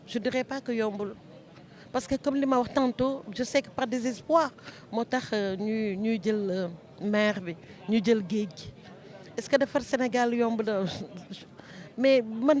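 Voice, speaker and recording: A woman's voice: on the high side, a little slow, a little mellow. A woman with a Senegalese French accent, interviewed outdoors, speaking in a sorrowful, reflective way. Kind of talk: street interview.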